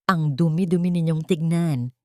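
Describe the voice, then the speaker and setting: A woman's voice: low-pitched, moderate pace, dry recording, natural voice. A woman voicing a haughty, scornful elderly mother-in-law in a story, scolding sharply.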